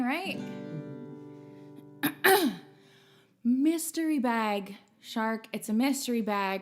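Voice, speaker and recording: Rambling, jokey livestream host, woman with a high voice and unhurried delivery. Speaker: host and singer. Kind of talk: livestream concert banter.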